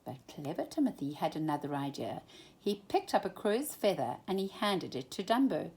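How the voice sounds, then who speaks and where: Breathy woman reading a bedtime story. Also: lowish voice, normal speed. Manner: expressive, animated reading.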